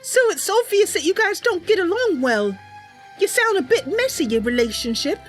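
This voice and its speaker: A woman's voice: very high-pitched, big pitch swings, moderate pace, soft background music. A bubbly, chatty woman livestreamer talking casually and improvising roleplay as she plays a game. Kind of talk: casual live stream chat with improvised roleplay.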